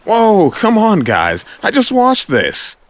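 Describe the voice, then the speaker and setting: Man playing a cocky young wisecracking superhero, higher-pitched and lively. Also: takes it slowly, no room sound, full character voice. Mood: exasperated. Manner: quippy, exclaiming. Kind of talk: audio drama acting.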